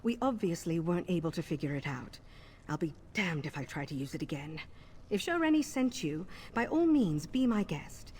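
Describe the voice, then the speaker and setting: A woman voicing a wary, blunt vampire mercenary in a fantasy video game, husky and plainspoken. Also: low voice, sing-song, normal speed, darkish tone, full character voice. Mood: wary, sardonic. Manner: guarded, plainspoken.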